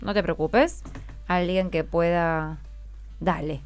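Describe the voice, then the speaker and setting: A woman's voice: on the low side, fairly even intonation, slow pace, smooth. A woman with an Argentine accent hosting an unscripted radio interview, encouraging and prompting with short questions. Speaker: radio host interviewing schoolchildren. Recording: faint music behind. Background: faint music.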